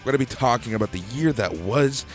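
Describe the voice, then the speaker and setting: A man's voice: medium pitch, animated, fast talker, soft background music. A man from Canada hosting a casual podcast, chatty and upbeat, laughing easily. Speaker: podcast host.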